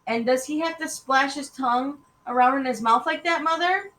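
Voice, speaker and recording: A woman's voice: mid-range voice, moderate pace, fairly bright tone, lightly acted. A woman voicing a dramatic, exasperated young schoolgirl in a storybook reading. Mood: disgusted. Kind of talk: children's storybook character reading. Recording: echoey room with faint noise.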